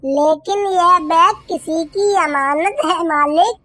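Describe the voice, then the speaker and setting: A woman's voice: extremely high pitch, takes it slowly, pushed and bright, dry recording, exaggerated voice. A woman voicing an honest, polite, hardworking young boy bird in a Hindi children's cartoon. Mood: earnest. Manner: polite, earnest.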